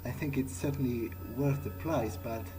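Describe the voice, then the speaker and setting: A man role-playing through a game in character, thinking aloud in a hesitant, halting, musing way. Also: medium pitch, over music, poor audio. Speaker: gaming video player, role-playing in character.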